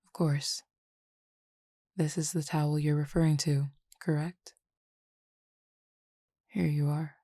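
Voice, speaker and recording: A languid cupid who feeds on desire, voiced by a woman in an audio roleplay, speaking to the listener in a deep, husky, gravelly murmur. The delivery stays formal and measured, barely rising or falling, with a quiet teasing edge. It is recorded close and dry, with no room sound. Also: little pitch movement, dry recording, full character voice.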